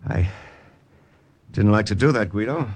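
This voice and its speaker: A man's voice: on the low side, lively intonation, measured pace, a little mellow. An actor playing a terse, hard-boiled wartime agent who narrates his own story in an old-time radio drama. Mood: tense narrating. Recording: old radio recording with music behind.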